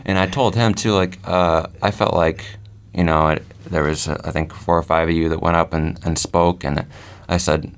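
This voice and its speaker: A man hosting a casual podcast, asking questions in a relaxed way, with many fillers. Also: low-pitched, moderate pace. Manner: relaxed, lots of fillers, friendly interviewer. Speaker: podcast host.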